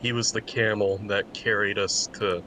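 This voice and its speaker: A man's voice: lowish voice, fairly even intonation, normal speed, sharp tone, low-quality recording. Man joking casually over faint noise. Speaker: co-commentator.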